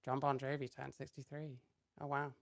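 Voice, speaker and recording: A young British man, a podcast guest, talking in a hoarse, laid-back and hesitant way, tired late at night. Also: medium pitch, little pitch movement, moderate pace, dry recording, studio quality. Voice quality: hoarse, losing voice. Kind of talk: casual chat.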